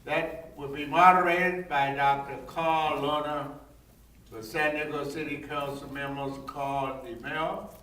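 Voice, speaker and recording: An older man hosts a public forum with unhurried, very slow delivery. His voice sits slightly high, and he repeats phrases for emphasis. He is heard at a distance from the microphone. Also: steady tone, sharp tone. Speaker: forum host and reverend. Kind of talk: informal public announcements. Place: large echoing indoor venue, public forum. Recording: echoey room, distant microphone, faint noise.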